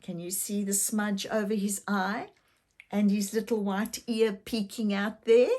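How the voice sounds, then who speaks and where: An older woman narrating a children's story expressively, gently and deliberately, with questions to young listeners. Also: mid-range voice, flat delivery. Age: older, grandmother.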